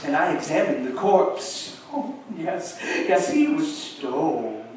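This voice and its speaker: A man playing a nervous, unhinged murderer in a theatrical gothic horror reading, halting and repeating himself between sudden outbursts. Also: on the high side, wildly swooping pitch, darkish tone, exaggerated voice. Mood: grim, satisfied. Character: unhinged nervous murderer narrating his gothic crime, gleeful and frantic. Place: live show at an amusement park. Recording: echoey hall from far off, some noise.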